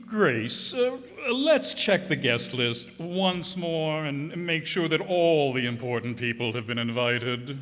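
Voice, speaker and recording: A man's voice: a little high, lively intonation, slow pace, hardly any pauses, a bit bright. A man playing a fussy, disapproving, self-important husband in an old-time radio comedy. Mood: fussy, exasperated. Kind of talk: 1940s radio comedy acting. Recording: reverberant hall.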